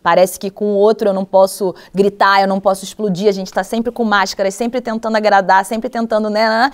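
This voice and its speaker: A woman's voice: mid-range voice, little pitch movement, a little fast, clear, resonant tone. A woman with a Brazilian Portuguese accent, a podcast co-host speaking candidly and reflectively in casual chat.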